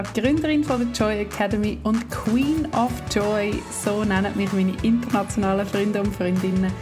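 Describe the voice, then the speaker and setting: A woman in her thirties with a Zurich Swiss German accent, hosting a podcast with a bubbly, upbeat manner. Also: mid-range voice. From Säuliamt, Canton of Zurich, Switzerland. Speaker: podcast host. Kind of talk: scripted podcast intro and casual chat. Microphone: video call. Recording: music playing behind the voice. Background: music.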